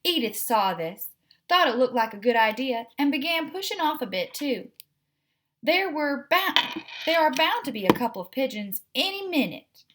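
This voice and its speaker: A woman with a fairly high voice reads a children's book aloud. She narrates expressively as the host, speaking directly to young listeners. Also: sing-song, normal speed, a bit bright.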